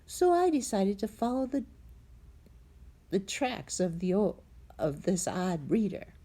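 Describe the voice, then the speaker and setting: A woman reading a children's story aloud in a dramatic, suspenseful manner, with a husky, breathy voice that drops into hushed tones. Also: mid-range voice, sing-song, takes it slowly. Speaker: storybook reader.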